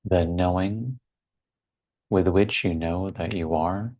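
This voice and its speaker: A man leading a guided meditation in a measured, deliberate way, leaving long pauses between short phrases. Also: sits low, muffled recording.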